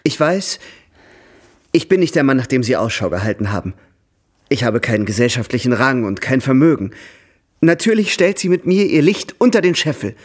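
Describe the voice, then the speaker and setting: A man's voice: mid-range voice, sing-song, moderate pace. A man in a radio drama playing a cheerful, self-mocking young suitor with a witty, eloquent way of talking. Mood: pleading, playful.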